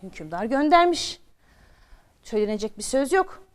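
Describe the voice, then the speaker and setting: Expressive woman storyteller for children. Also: fairly high pitch, moderate pace, clear, resonant tone. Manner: expressive, asks listeners questions.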